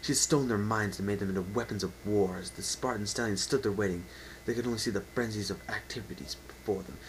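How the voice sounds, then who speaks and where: A man's voice: lowish voice, quick pace, slight background noise, poor audio. A man narrating an unedited dramatic story reading with theatrical, emphatic delivery. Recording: amateur home recording with faint whine.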